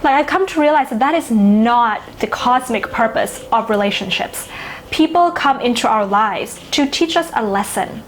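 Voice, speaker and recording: A woman's voice: on the high side, lively intonation, moderate pace, slight background noise. A woman whose first language is Thai, an astrologer musing in casual talk to camera, full of "you know" and "like".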